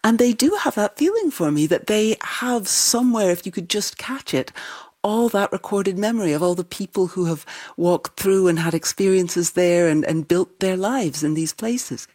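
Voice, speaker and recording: A woman's voice: mid-range voice, normal speed. A middle-aged woman with a transatlantic accent tinged with Irish, answering interview questions with enthusiasm and easy laughter. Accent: transatlantic, with some Irish. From United States. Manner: enthusiastic, laughs easily, animated. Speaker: novelist guest. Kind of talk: interview conversation.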